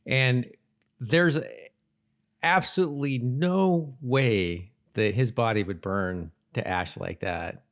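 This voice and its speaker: A man co-hosting a podcast, chatting casually and haltingly, repeating himself as he searches for words. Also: medium pitch. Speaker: podcast co-host. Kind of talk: casual episode recap chat.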